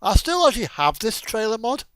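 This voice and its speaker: A man with a high voice and very animated intonation talks casually about gaming. He rambles along in a self-amused manner. The sound is dry and close, with no room around it. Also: fairly quick, dry recording. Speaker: video game streamer.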